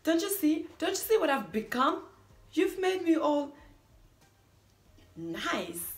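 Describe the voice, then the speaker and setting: A woman's voice: a little high, takes it slowly. A woman performing a theatrical monologue as a self-declared mean young woman resisting a marriage proposal, dramatic and emphatic. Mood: exasperated begging. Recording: echoey room.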